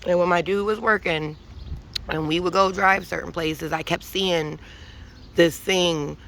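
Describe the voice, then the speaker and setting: A woman's voice: fairly low pitch, fairly even intonation, a little slow, creaky, a bit bright, slight background noise. A woman giving an emphatic, profane spiritual monologue with many restarts, rambling and preachy. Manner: intense and preachy. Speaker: vlogger.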